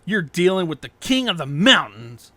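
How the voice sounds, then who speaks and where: A brash young wild warrior, acted by a male narrator, loud and boastful. The voice is raised and cocky, in a dry audiobook recording. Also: high-pitched, big pitch swings, moderate pace, dry recording, full character voice. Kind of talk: fan fiction audiobook character voice.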